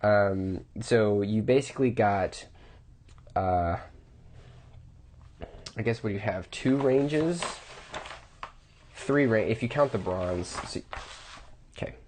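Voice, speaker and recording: A man's voice: on the low side, slow, pauses often, a little mellow, slight background noise. A man reviewing watches casually, thinking aloud with restarts, his voice a bit off from a cold.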